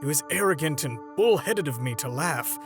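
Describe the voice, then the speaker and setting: A man acting an earnest, fatherly older hero in a fan comic dub, pleading in a measured, dramatic way. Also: fairly high pitch, animated, music in the background. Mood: apologetic.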